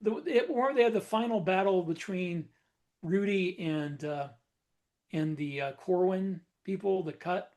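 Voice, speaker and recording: Dude with a pretty high voice ranting on a podcast, rambly, loses his words. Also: unhurried, darkish tone. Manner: opinionated, rambling, searches for words. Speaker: podcast co-host. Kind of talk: casual podcast discussion.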